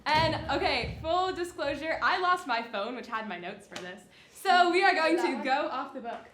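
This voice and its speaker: A young woman gives an informal, off-the-cuff introduction as a student playwright. Her voice is rather high, excitable and giggly, ringing in an echoey hall with faint noise. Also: moderate pace. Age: young adult. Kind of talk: informal speech introducing own play.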